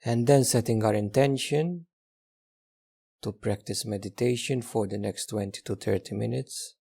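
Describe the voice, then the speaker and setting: A man leading a guided meditation in a gentle, unhurried manner, leaving long pauses between instructions. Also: on the low side, creaky, breathy edge.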